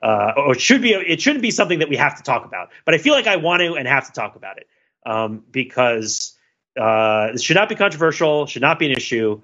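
A man's voice: fairly high pitch, very animated, brisk, bright, forceful tone, highs cut off. A man co-hosting a podcast discussion, talking casually with many fillers, restarts and little laughs. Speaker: podcast co-host.

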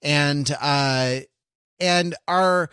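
A man's voice: fairly high pitch, extremely slow, pushed and bright, clear, resonant tone, dry recording, clean studio sound. A man from Los Angeles co-hosting a podcast, talking in a rambling, digressive way and correcting himself as he goes. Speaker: podcast co-host. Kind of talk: casual chat.

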